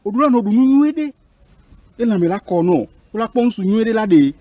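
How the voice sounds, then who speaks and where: A man's voice: high-pitched, animated, a little fast, dark tone, dry recording, lo-fi sound. A man playing an agitated, scolding father in a film drama, with a West African accent and an insistent, exclamatory delivery.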